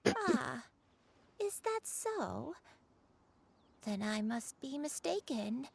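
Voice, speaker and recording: An actress playing a gentle, forgetful elderly grandmother in a video game, telling things in a slow, wistful way. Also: high voice, big pitch swings, vocal fry, slightly rough, exaggerated voice. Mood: musing. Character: frail elderly granny, gentle, forgetful and self-blaming. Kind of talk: scripted video game dialogue.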